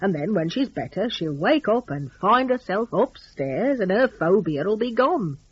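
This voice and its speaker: Brisk bossy doctor man, read by a woman, annoyed, husky, storybook narration. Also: medium pitch, very animated, muffled recording. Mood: brisk, confident. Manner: brisk, authoritative.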